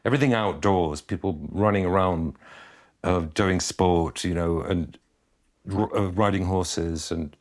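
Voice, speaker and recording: A middle-aged man who grew up in Argentina, speaking as a guest musician in a relaxed interview with an easygoing, reflective manner. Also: low voice, a little slow. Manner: easygoing, reflective, chuckles at his own jokes.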